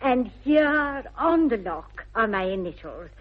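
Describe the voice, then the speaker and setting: A woman's voice: on the high side, sing-song, takes it slowly, exaggerated voice. A middle-aged woman with an English accent playing a prim, fussy spinster governess in a radio comedy, speaking with precise deliberation. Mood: fussy, pleased. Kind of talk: classic British radio comedy acting. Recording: dull old radio recording.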